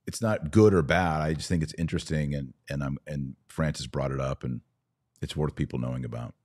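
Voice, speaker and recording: A man's voice: rumbling low, fairly quick, darkish tone. A man hosting a conversational religious commentary podcast, opinionated and informal in manner. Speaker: podcast host.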